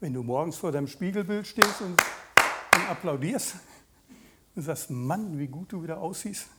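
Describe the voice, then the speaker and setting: Male preacher, late 50s, voice a little high, conversational sermon delivery with direct questions and mid-sentence pauses. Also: lively intonation, heavy background noise.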